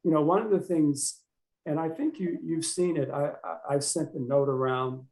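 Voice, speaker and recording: A man's voice: a little high, normal speed, a little mellow. A man, a newspaper editor, speaking hesitantly with many fillers on a panel discussion over a video call.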